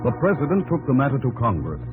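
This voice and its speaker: An actor playing a dutiful young presidential secretary who narrates a 1950s radio drama in a respectful storytelling manner. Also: male voice, mid-range voice, huge pitch swings, fast talker, narrow, tinny audio. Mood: narrating. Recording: old radio broadcast with music behind.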